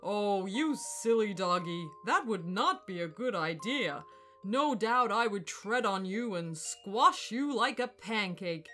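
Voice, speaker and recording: A woman voicing a huge, smug, boastful male elephant in a children's storybook, booming and condescending. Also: lively intonation, slow, bright, forceful tone, faint music behind. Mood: smug, scornful.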